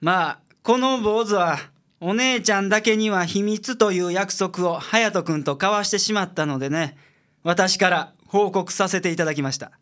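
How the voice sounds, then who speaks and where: An actor playing a gruff, blunt detective boss with a Kansai accent in a radio drama. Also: male voice, sits high, moderate pace, a bit bright. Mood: calm, explaining. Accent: Japanese, Kansai. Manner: brusque, grumbling.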